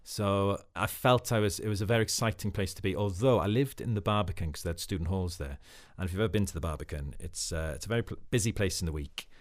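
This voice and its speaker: A man's voice: low voice, fast talker, few pauses. A man from Wales with a Welsh accent, a Welsh speaker, talking thoughtfully as an actor guest in an interview. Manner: thoughtful, reflective, with frequent fillers. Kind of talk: casual interview.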